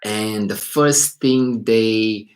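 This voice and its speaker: A man from Romania, hosting a casual live stream as a psychology teacher and thinking aloud as he talks. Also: on the low side. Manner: unhurried, thinking aloud, rephrasing as he goes. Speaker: psychology teacher and channel host. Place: indoors in Beijing at night.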